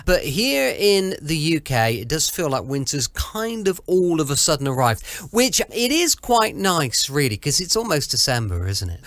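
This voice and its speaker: A man's voice: fairly high pitch, sing-song, moderate pace, a bit bright, dry recording. A British man hosting a podcast in a chatty, informal way, talking directly to the listener. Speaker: podcast host. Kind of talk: chatty scripted podcast introduction.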